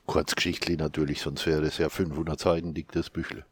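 A man with a Franconian accent, co-author of a quiz book, chatting casually and reading quiz questions with lively emphasis. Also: a bass voice, a little fast, husky. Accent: Franconian German. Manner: animated, laughs, whispers asides. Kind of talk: casual chat.

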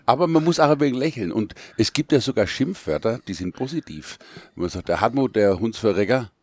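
A man's voice: medium pitch, expressive, a little fast, slightly rough, no room sound. A man, co-author of a quiz book, explaining things in a wry, casual way. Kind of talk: casual chat.

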